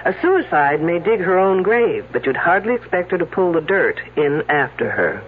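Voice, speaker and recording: An actor playing a sharp-witted, confident detective in an old radio drama, speaking with dry wit. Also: male voice, high voice, big pitch swings, normal speed, very noisy. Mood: dryly confident. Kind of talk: 1970s radio drama acting. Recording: tinny old radio broadcast.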